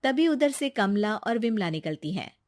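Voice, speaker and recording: A woman's voice: on the high side, very animated, normal speed, darkish tone, no echo. Dry, close-sounding woman storyteller. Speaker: narrator. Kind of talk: scripted story narration.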